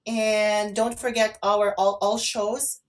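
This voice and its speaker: A woman's voice: mid-range voice, flat delivery, slow pace. A woman co-hosting an online radio show, talking in a casual, conversational style. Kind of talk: casual chat and listener shout-outs.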